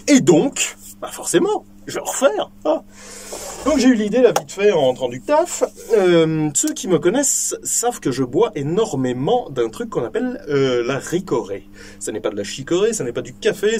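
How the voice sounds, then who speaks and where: A young man hosting a casual vlog from a small indoor shooting booth, joking, swearing freely and filling pauses with lots of ums. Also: medium pitch, a little mellow, a little hiss or hum. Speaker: firearms video host.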